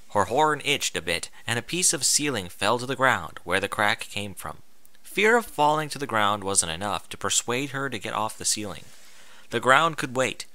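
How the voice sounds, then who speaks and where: A man's voice: fairly low pitch, sharp tone, dry recording. A man reading fan fiction aloud in a theatrical, emphatic storybook style with a comic edge. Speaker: amateur fan fiction reader.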